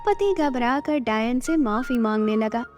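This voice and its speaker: A woman's voice: fairly high pitch, a little slow, a little mellow. A woman narrator reading a scripted bedtime story for children. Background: background music.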